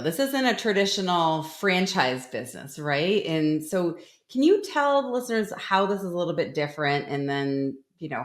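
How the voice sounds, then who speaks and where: A woman co-hosting a podcast, asking questions in a friendly, conversational way. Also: lowish voice. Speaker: podcast co-host. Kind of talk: podcast interview. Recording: roomy echo.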